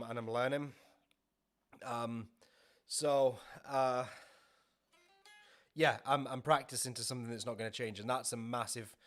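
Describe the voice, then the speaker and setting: Man with a cold, clearing his throat. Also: mid-range voice, fairly bright tone. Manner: short phrases with tag questions, frequent throat-clearing. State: full of a cold, hoarse. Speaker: guitarist streaming his practice. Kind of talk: casual teaching while jamming. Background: faint backing music.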